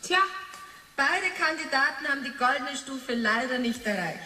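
A woman's voice: high-pitched, expressive, moderate pace, bright, forceful tone. An actress playing a chirpy female game show assistant in a 1980s radio drama, heard in a distant reverberant hall. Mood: cheery regret.

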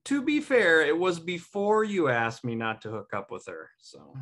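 A man's voice: fairly high pitch, huge pitch swings, moderate pace, a bit bright. An American man playing a casual, defensive buddy in a sitcom table read. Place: dim indoor room, on a video call. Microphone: video call microphone.

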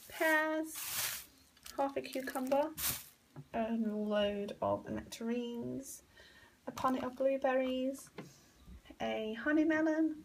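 Young woman vlogger, slow, chatty, hesitant; home kitchen recording with background noise. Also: fairly high pitch, vocal fry, low-quality recording. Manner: chatty, hesitant with frequent ums and "I think". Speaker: vlogger showing a grocery haul. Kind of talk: casual chat.

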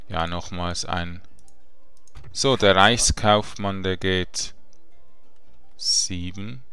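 A man giving relaxed, chatty gameplay commentary with slangy asides. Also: sits low, very slow, smooth, dry recording, soft background music. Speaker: let's play gamer.

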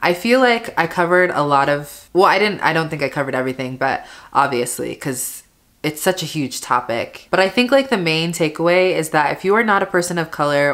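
A woman's voice: low voice, a bit bright. A young woman in her twenties chatting casually while doing her makeup at home, talking in a rambling way full of "like" fillers, close to the camera. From family from Panama, raised in America. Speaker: beauty vlogger. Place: bedroom at home, indoors.

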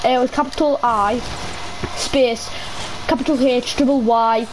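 A teenage gamer chatting excitedly and pleadingly while playing an online game. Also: medium pitch, unhurried, over a noisy crowd. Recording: noisy game voice chat.

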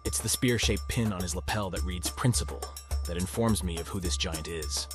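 A man's voice: sits low, moderate pace, over music, lightly acted. A man playing a jaded, awkward teenage student in a visual novel, speaking with wry detachment. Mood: detached, wry. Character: jaded, awkward teenage male human student narrating his life. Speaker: narrator and lead voice actor. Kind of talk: first-person visual novel narration and dialogue.

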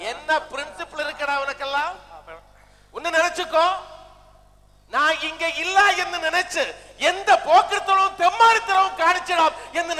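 High, bombastic ghost leader. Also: male voice, bright, forceful tone. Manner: theatrical, declamatory, scolding. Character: returning ghost of bombastic male Tamil film-star leader, scolding. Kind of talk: stage comedy skit acting. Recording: echoey stage hall with music.

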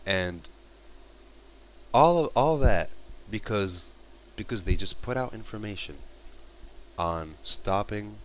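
Man, 30s, from Morocco; earnest home-vlog delivery on a headset, fairly low pitch, halting restarts. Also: animated, slight background noise. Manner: earnest, repeats and restarts phrases, gestures while talking. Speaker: vlogger. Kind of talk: casual spoken commentary to camera. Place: bedroom, small indoor room. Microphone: headset mic.

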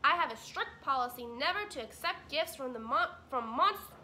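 A woman voicing a stubborn, gruff male beaver who grumbles and speaks bluntly in a folksy way. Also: high voice, animated, moderate pace, bright, forceful tone, a little hiss or hum, pitched above the performer's own voice.

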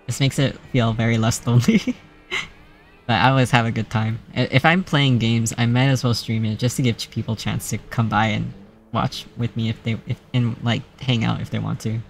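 A man streaming a video game, signing off with soft-spoken casual chat, laughing often and talking in a flat, even way. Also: medium pitch, brisk, gravelly, slight background noise. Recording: faint game music behind.

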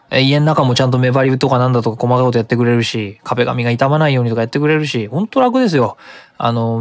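A man's voice: mid-range voice, no room sound. A man hosting a web radio show, talking casually in a chatty, colloquial, explanatory manner.

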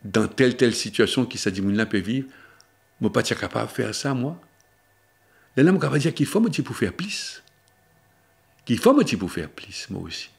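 An older man preaching a Lenten sermon in with a lilt. He talks to camera at a table microphone in a small studio, slowing down to stress key words and moving often between higher and lower pitches. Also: big pitch swings, creaky. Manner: shifts between spoken pitches, slowing for emphasis. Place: small studio before a blue backdrop, seated at a table. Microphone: table microphone on a stand.

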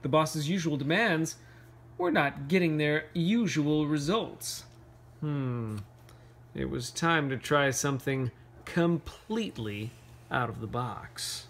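Animated man reading a picture book aloud. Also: on the high side. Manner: playful, pauses to ask questions. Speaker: read-aloud storyteller. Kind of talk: animated picture-book read-aloud with casual asides to children.

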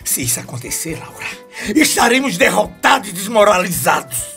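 A man's voice: high voice, big pitch swings, fairly quick, creaky, sharp tone, faint music behind, exaggerated voice. A man playing a scheming, hot-tempered husband with a Brazilian accent in a radio soap, ranting and scolding. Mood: angry scolding. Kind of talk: radio soap opera acting.

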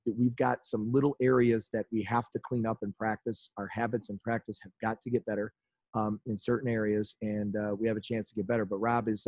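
A man in his forties, a head basketball coach with a Chicago-area American accent, speaking haltingly at a postgame press conference over a video call. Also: lowish voice, a little mellow, no room sound, poor audio. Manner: halting, many restarts and fillers. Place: indoors in front of a team backdrop. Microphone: video call webcam.

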